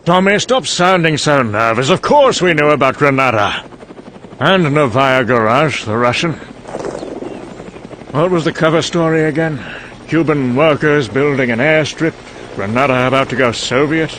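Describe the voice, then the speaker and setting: A man's voice: on the high side, expressive, normal speed, a bit bright, a little hiss or hum. A man playing a wry, cynical scientist in a dramatic motion comic monologue, musing and condescending. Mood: amused contempt. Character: wry, cynical male scientist recounting a doomed secret programme.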